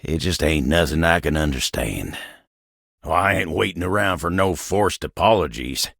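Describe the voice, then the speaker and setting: A man playing a gruff, proud, bashful old-fashioned wooden table in a video game, with a folksy country drawl from the American South and a grumbling, easily flustered way of talking. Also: rumbling low, a bit bright, no room sound, clean studio sound, full character voice. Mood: troubled, hesitant, then bitter, apologetic. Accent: American Southern or rural Western drawl. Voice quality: gravelly. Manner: folksy, grumbling, flustered, halting when embarrassed. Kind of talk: video game character voice acting.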